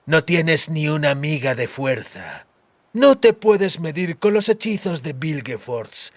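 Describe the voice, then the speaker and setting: A man's voice: on the high side, lively intonation, slow, dry recording, pitched above the performer's own voice. A man voicing a cruel, vengeful male henchman mage who sneers and threatens in a fantasy audiobook. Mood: gloating, taunting. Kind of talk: dramatized fantasy audiobook acting.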